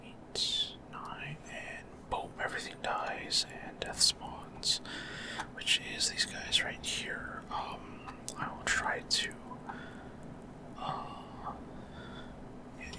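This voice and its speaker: A man giving casual ASMR video game commentary in a breathy, unvoiced whisper, explaining things without hurry. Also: takes it slowly. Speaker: gaming ASMR creator.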